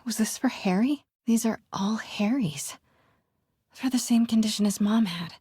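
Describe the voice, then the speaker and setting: A woman voicing a curious, caring young reporter in a video game, musing aloud to herself in a natural, soft voice that often drops to a hushed murmur. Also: fairly high pitch, unhurried, breathy edge, dry recording, studio quality. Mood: shocked concern. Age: young adult.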